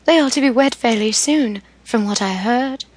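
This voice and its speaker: An excitable, gentle older sister whose voice jumps up high and lively, as a woman reading a storybook puts her on. The tone stays light and bright. It is recorded close and dry. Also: normal speed, husky, no room sound. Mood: excited.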